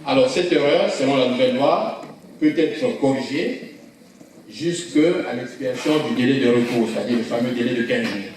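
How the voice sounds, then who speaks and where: A man with a Congolese French accent speaks as a lawyer at a public conference, explaining points deliberately and didactically. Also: mid-range voice, darkish tone, a little hiss or hum. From Democratic Republic of the Congo. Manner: deliberate, didactic, rhetorical questions. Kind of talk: public talk, explanatory speech. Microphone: handheld or event microphone. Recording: very echoey hall, far from mic.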